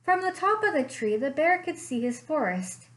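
A young woman, a librarian, reading a picture book aloud slowly and expressively at home into a webcam. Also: sits high. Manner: slow, expressive storytime reading. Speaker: children's librarian. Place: living room at home.

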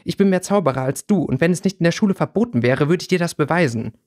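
A man's voice: fairly high pitch, lively intonation, fast, a little mellow, pitched above the performer's own voice. A man voicing a hot-headed, indignant teenage schoolboy in a fantasy audiobook. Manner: indignant, combative.

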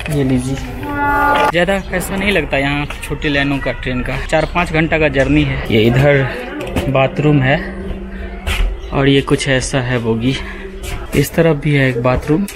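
A man's voice: medium pitch, a little slow, long pauses, music in the background. A young man casually narrating a travel vlog, chatting as he films at a hill railway station and aboard a train. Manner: chatty, conversational, thinking aloud while filming. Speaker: travel vlogger. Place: outdoors at a quiet hill railway station, then inside a train. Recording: noisy outdoor and train recording.

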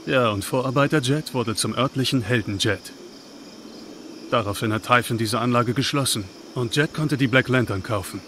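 An actor plays a young man in a narrative video game, a practical and caring brother under worry. His voice sits at a middle pitch and sounds natural and earnest, with faint night insects behind. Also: moderate pace, fairly bright tone, a little hiss or hum, lightly acted. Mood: explaining. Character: Gabe, caring, worried older brother, practical and reassuring. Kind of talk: dubbed video game acting.

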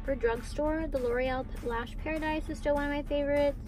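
A woman's voice: sits high, fairly even intonation, fairly quick, over music. A young woman doing her makeup in a car, chatting playfully and teasing while filming herself. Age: young adult. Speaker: beauty vlogger. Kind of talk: casual makeup chat. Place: inside a parked car. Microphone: handheld phone camera.

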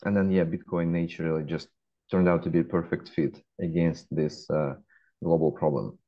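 A man in his forties with a Russian accent, answering interview questions in a measured way with frequent hesitations, heard over a webcam call. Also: sits low, flat delivery. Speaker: guest, fintech founder. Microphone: laptop webcam. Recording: dull lo-fi call audio.

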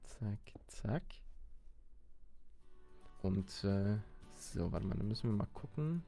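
A man's voice: on the low side, lively intonation, slow pace, mellow. A man giving casual, slangy gameplay commentary, chatting and exclaiming as he plays. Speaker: let's player. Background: background music.

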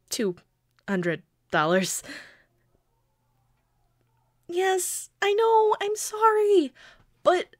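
A woman's voice: very high voice, full character voice. A woman playing a quirky, sheepish young girlfriend who pleads and defends herself hesitantly in an intimate audio roleplay. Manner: hesitant, pleading, halting with pauses. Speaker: amateur audio roleplay voice actor.

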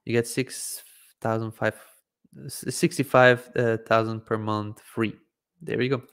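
Casting: man, 30s, from Croatia, native Hungarian speaker, relaxed hesitant live Q&A host, close desk mic. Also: fairly low pitch, measured pace, smooth. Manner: relaxed, hesitant with frequent ums and "I believe". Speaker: livestream Q&A host. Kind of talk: casual live Q&A answering viewer questions. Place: home studio, indoors, seated in gaming chair. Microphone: desk microphone in front of face.

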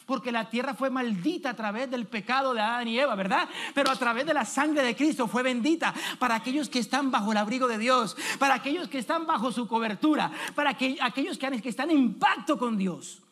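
A man in his thirties, an evangelist preaching an animated sermon on a church stage, calling out questions to the congregation. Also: extremely high pitch, a little fast, hardly any pauses. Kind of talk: energetic sermon.

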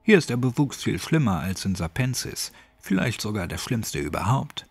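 A man's voice: fairly low pitch, sing-song, moderate pace, soft background music. A man voicing an elderly, quirky retired gardener in a horror audiobook, chatty, colloquial and grimly cheerful. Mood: blunt, urgent. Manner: folksy, chatty, colloquial rambling.

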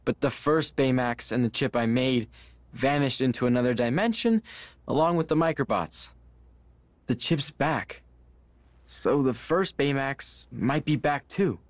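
A man's voice: mid-range voice, animated, unhurried, no echo, close to an everyday voice. An actor playing an earnest, brainy teenage boy inventor in a video game, youthful and sincere. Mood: thoughtful. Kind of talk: dramatic video game cutscene acting.

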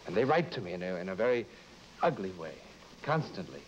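An actor playing an anxious, secretive married lawyer in a vintage broadcast drama, speaking in a halting, pleading, evasive way. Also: male voice, low voice, big pitch swings. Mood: strained, pleading. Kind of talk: vintage mid-century broadcast drama acting. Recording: dull, noisy vintage broadcast recording.

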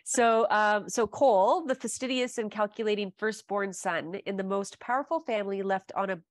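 A woman's voice: medium pitch, moderate pace, no echo. Chatty woman host on a dry video call. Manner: chatty and gushing, with frequent fillers. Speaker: podcast host. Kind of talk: casual video-call interview. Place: home living room.

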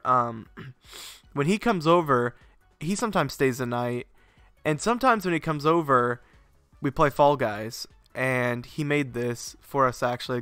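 A young man with a bright, crisp voice and a stuffed-up, sinusy tone chats loosely on a live stream, rambling between topics and swearing as he gets excited. The sound is close and dry, with faint game music underneath. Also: medium pitch, no room sound. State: congested, sinusy. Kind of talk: casual live-stream chat. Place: home garage setup.

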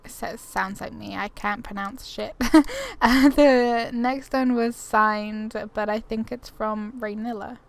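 A woman's voice: fairly high pitch, fairly even intonation, slow pace, vocal fry. A woman doing casual gameplay commentary and reading quest text aloud, chatty, informal and self-deprecating. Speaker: gaming YouTuber. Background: video game ambience.

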